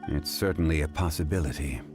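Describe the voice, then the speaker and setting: A wise, dignified gentleman with a very low voice. He is calm and scholarly, with composed, formal phrasing. It is video game dialogue with music playing behind. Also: fairly quick. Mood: pensive. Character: calm, dignified, scholarly man of ancient wisdom.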